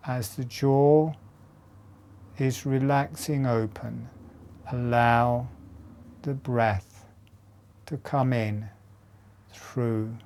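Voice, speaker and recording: A man leads a guided meditation with extremely slow pacing. His tone is mellow and relaxed, and he gives each instruction in short, measured phrases, leaving long gaps between them. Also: medium pitch.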